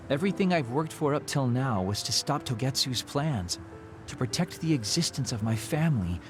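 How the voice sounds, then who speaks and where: A man's voice: mid-range voice, natural voice. An actor playing a teasing, world-weary immortal man in a video game cutscene. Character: burned-out, playful man two thousand years old, hollow inside. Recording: music behind the voice.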